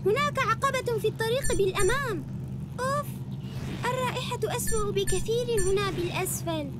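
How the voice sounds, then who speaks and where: Very high-pitched little girl superhero. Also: fairly quick. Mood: alert, encouraging. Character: young girl superhero guiding teammates, alert and encouraging. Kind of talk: cartoon video game acting. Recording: loud game music underneath.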